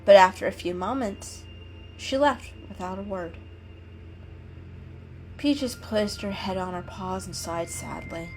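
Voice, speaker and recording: A woman's voice: medium pitch, slow, long pauses, darkish tone, low-quality recording. A woman giving a scripted, dramatic narration of an animal story as a storyteller. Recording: music behind the reading.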